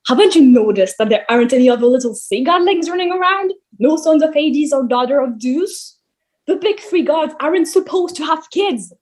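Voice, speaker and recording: A woman's voice: high-pitched, lively intonation, normal speed, darkish tone. A woman playing a grumpy, sarcastic male god who runs a camp, in a theatrical musical table read, blustering and dismissive.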